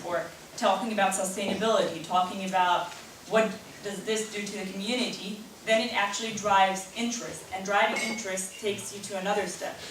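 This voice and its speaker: Earnest female speaker taking audience questions; recorded from afar in a strongly echoing room. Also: medium pitch. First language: not German; English not stated as first. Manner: earnest, personal, answers at length with restarts. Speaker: speaker at a lightning talk event. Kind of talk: live talk with audience Q&A. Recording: very echoey room, distant mic, faint noise.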